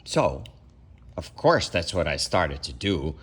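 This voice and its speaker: Animated man reading a children's storybook. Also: deep voice, slow, slightly rough. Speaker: parent reading aloud for children. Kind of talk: expressive children's storybook read-aloud.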